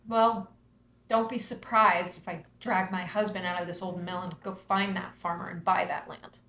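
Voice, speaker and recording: An actress playing an earnest, emotional adult daughter in a screenplay table read. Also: female voice, lowish voice, steady tone, normal speed, bright, forceful tone. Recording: roomy echo, faint hum.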